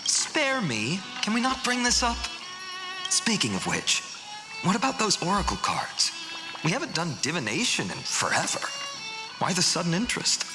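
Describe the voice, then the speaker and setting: A man's voice: high-pitched, wildly swooping pitch, moderate pace. A young man playing a good-natured, bashful village youth who dreams of heroism, in video game dialogue. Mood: bashful protest. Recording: reverberant, music behind.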